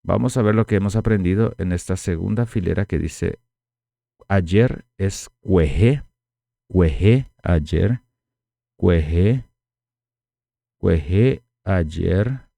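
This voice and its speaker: A man teaching a language lesson, speaking slowly and repeating each word for learners to copy, with Guarani as his first language. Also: deep voice, gravelly, dark tone, no echo.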